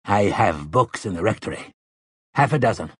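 A man voicing a patient, resolute old priest in an audiobook, with measured, folksy persuasion. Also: fairly low pitch, expressive, fairly bright tone, slightly rough, no room sound. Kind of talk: audiobook character dialogue.

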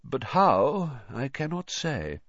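A man's voice: mid-range voice, huge pitch swings, takes it slowly, a bit bright, slightly rough, no echo, muffled recording, pitched above the performer's own voice, full character voice. A man voicing a vain, boastful male wizard teacher with a pompous, airy manner in a storybook reading. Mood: self-important.

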